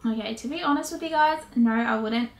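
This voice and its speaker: A woman's voice: on the high side, fairly quick. A woman in her twenties from South Sudan, a native Nuer speaker, chatting upbeat in a casual question-and-answer vlog. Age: 20s. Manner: chatty, upbeat, fillers like "you guys". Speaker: vlogger, co-host with partner. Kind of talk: casual Q&A chat. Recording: echoey room with faint noise.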